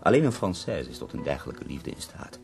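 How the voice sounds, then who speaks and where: An actor playing a bedridden, dying poet who speaks in ornate, wry, theatrical phrases in a radio drama. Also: male voice, very deep voice, big pitch swings, brisk, vocal fry, over music, full character voice.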